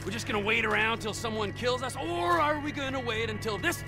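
A man's voice: very high voice, a little fast. An actor playing a volatile, menacing middle-aged criminal in a video game, erratic and mocking in his delivery. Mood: taunting. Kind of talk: video game cutscene acting. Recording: music behind the voice.

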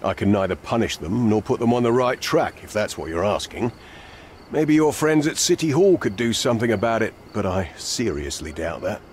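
An actor playing a weary, cynical older police inspector in a game, dry and sardonic. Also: male voice, lowish voice, sing-song, normal speed, a little mellow, a little hiss or hum. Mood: fed up. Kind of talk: dramatic game cutscene dialogue. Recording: faint night insect ambience behind.

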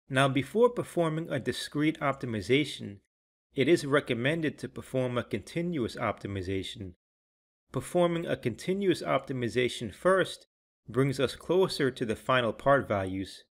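A man reading scripted instructional narration in a measured, clearly enunciated, explanatory way. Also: mid-range voice, expressive. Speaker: software tutorial narrator.

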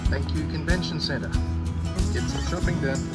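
A man in his forties talking casually to a camera outdoors, with a dry, deadpan sense of humour. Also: on the high side, normal speed, loud music behind the voice. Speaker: vlogger on a sailing channel. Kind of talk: casual vlog chat. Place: outdoors, on a sailboat deck and around town.

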